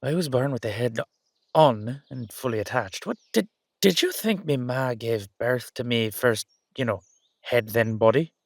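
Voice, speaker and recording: Casting: male actor as youthful Dullahan boyfriend, Irish accent, mid-pitched, affectionate, teasing, rambling; intimate roleplay audio, dry recording. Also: animated, unhurried, vocal fry, dry recording. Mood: bashful, explaining.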